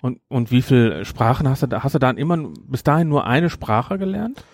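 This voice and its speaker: A man's voice: medium pitch, lively intonation, brisk, no room sound. Inquisitive man interviewing, dry close sound. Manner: inquisitive, rephrases answers. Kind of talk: casual conversational questioning.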